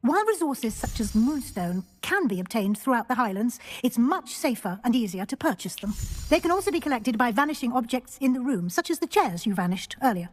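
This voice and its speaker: A woman's voice: on the high side, sing-song, brisk. An actress playing an encouraging witch professor in a video game, precise and instructive in manner. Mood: instructive, approving. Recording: reverberant hall.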